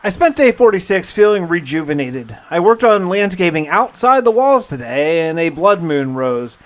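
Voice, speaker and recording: A man with a fairly high-pitched voice narrates a survival game as first-person roleplay. He talks casually and confidingly, as if keeping a personal log, using mild everyday exclamations. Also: big pitch swings, moderate pace. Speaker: gamer narrating his survival challenge.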